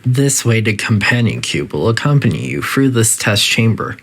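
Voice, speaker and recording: A man's voice: medium pitch, very animated, normal speed, creaky, fairly bright tone, exaggerated voice. A man voicing a coolly polite, faintly menacing facility AI that gives formal, detached test-chamber announcements in a video game. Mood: clinical, detached.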